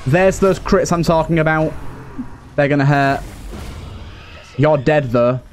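A man's voice: fairly high pitch, unhurried, faint music behind. A man giving casual commentary as he plays a game, thinking aloud in an understated way. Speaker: gaming video host.

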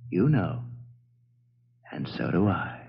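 Smug sleazy beach bum, raspy, voice all over the place, old radio play. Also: male voice, low voice, big pitch swings, takes it slowly, exaggerated voice. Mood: smug menace. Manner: slangy, smug, taunting. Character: cocky sleazy young lover, blackmailing beach bum. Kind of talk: 1970s radio drama acting. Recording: muffled 1970s radio broadcast.

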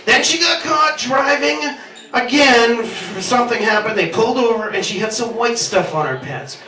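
A man's voice: very high-pitched, moderate pace, pushed and bright. A man in his thirties doing a rambling, profane, self-deprecating stand-up set on a handheld mic in a small pub basement. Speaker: stand-up comedian. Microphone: handheld stage mic, filmed from the room. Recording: roomy club sound from far off.

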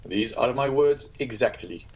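A man voicing a calm, courteous gentleman in a storybook, polite and measured as he probes. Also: mid-range voice. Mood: curious. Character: courteous, reasonable gentleman, calm and quietly probing.

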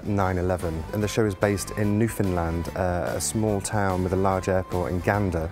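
A man in his forties with a deep, low voice gives a calm, unhurried tour of a theatre band's instruments, explaining each one. Music plays underneath him throughout. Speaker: musical director. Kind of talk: guided tour to camera. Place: theatre stage set, indoors.

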